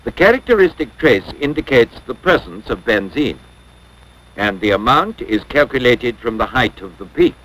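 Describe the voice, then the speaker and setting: A man narrating a 1950s educational film from a script in a measured, formal, instructional way. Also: mid-range voice, lively intonation, a bit bright, rough recording.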